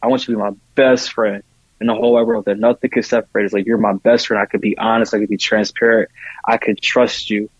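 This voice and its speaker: Man, slightly rough unclear tone, informal conversation, emphatic repetition, dull dry audio. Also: lowish voice, level tone, fast talker, no room sound. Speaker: podcast guest. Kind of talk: casual conversation.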